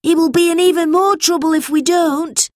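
Woman playing an anxious, high-pitched little schoolboy. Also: fairly even intonation, normal speed, dry recording, studio quality. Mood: worried. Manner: pleading, worried. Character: anxious, earnest young schoolboy searching for brother.

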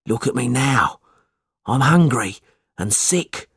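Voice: mid-range voice, lively intonation, slow pace, fairly bright tone, breathy edge, no room sound, studio quality, exaggerated voice. A narrator voicing a bent old beggar man in a storybook, hungry, sick and pleading. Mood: needy. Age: older. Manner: pleading, needy.

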